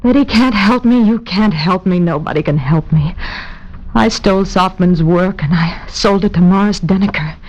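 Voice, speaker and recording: A woman's voice: medium pitch, moderate pace, darkish tone, lightly acted. An actress playing a desperate, resigned woman of about thirty in 1940s radio noir, tense and bleak. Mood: despairing. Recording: dull lo-fi old radio recording.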